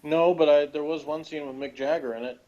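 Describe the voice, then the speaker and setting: A man's voice: fairly high pitch, fairly even intonation, brisk, mellow, lo-fi sound. A man chatting casually and critically, giving blunt opinions as a reviewer of a TV episode.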